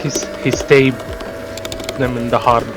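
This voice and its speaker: A man reading a story aloud in a halting, deliberate way, with casual asides and an amused manner. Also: medium pitch, flat delivery, music in the background. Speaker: reader of fan fiction.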